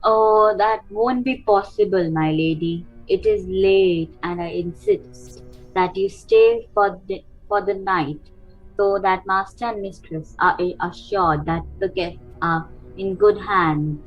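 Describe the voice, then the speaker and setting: A woman playing a formal, insistent household servant with stilted, halting speech, on a dull recording. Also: medium pitch, soft background music. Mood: deferential, insistent. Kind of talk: amateur radio play acting. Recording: dull, muffled studio recording.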